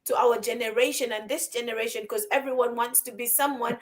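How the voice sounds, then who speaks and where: A woman with a high voice, speaking in a casual podcast conversation. She is emphatic, circling back and repeating short phrases to press her point, with many restarts along the way. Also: fairly even intonation, a little fast. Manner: frequent restarts and fillers.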